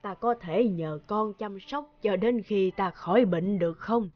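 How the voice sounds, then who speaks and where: A woman voicing a frail, sick old woman in an animated fairy tale, speaking weakly. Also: medium pitch, natural voice. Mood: weak, pleading. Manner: slow, weak. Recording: dull, muffled sound under loud music.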